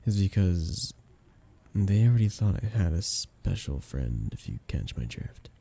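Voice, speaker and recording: A young man acting a flustered best friend with a hidden crush in an intimate ASMR romance roleplay, soft-spoken, casual and hesitant. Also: sits low, big pitch swings, measured pace, vocal fry, dry recording, full character voice. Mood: teasing, reluctant.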